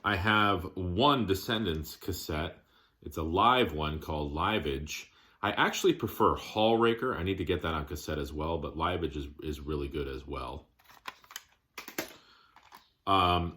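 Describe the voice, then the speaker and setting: A man giving a casual show-and-tell of his collection, plainspoken, listing items in short, halting phrases. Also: sits low, pushed and bright.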